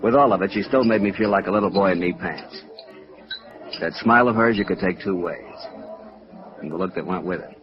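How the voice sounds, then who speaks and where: An American actor playing a curt, world-weary, bitter doctor in a 1950s radio drama. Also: male voice, low voice, a little fast, long pauses, crowd noise, natural voice. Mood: rueful. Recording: dull lo-fi old radio recording.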